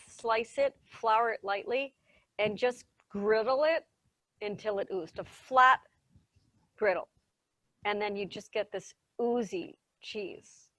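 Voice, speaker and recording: A woman's voice: mid-range voice, slow, a bit bright, no room sound. A woman giving a casual, enthusiastic talk on how cheese is made, often correcting herself mid-sentence, over a video call. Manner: chatty, enthusiastic, self-correcting. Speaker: cheese expert presenter.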